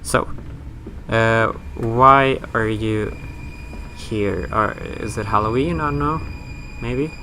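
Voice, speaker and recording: A man's voice: on the low side, slow, bright, forceful tone, a little hiss or hum. A man from Sweden with a Swedish accent, rambling and joking his way through a horror game let's play. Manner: rambling, self-mocking, swears casually. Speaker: gaming YouTuber.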